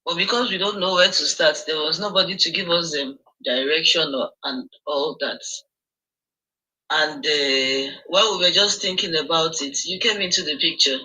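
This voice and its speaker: A woman's voice: sits low, steady tone, normal speed, pushed and bright, poor audio. A woman with a Nigerian accent calling in to share a testimony, telling her story with hesitant ums. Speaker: caller sharing a testimony. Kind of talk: call-in testimonial.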